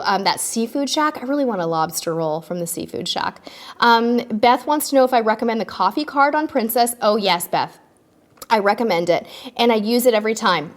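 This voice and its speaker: A woman hosting a live cruise-tips stream and answering viewer questions in a chatty, upbeat way, a little stuffy from allergies. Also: fairly high pitch. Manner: chatty and upbeat, with frequent ums.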